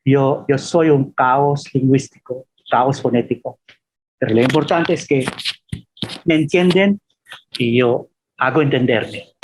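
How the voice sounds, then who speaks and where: A man from the Philippines, giving an informal lecture as a professor and telling anecdotes in a halting, self-deprecating way. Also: mid-range voice, breathy edge, rough recording.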